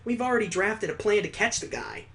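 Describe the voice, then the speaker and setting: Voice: medium pitch, sing-song, fairly quick, creaky, a bit bright. A let's-player acts out a weary detective father from a visual novel. He is grave and stern, with a condescending edge toward his son. The voice stays in a middle register. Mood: grave, reassuring.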